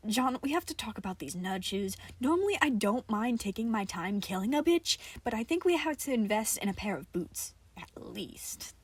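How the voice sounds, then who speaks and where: A woman's voice: on the high side, sing-song, normal speed, few pauses, breathy edge, no room sound. A woman voicing a growling, irritable, foul-mouthed man with a dry, grumbling manner in an audio story.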